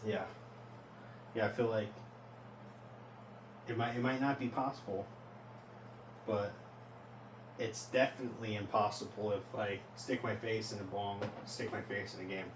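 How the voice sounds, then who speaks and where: A man in his early twenties talking casually in a self-reflective vlog, rambling and halting, often questioning himself as he goes. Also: fairly low pitch, steady tone. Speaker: vlogger. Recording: echoey, noisy, distant from mic.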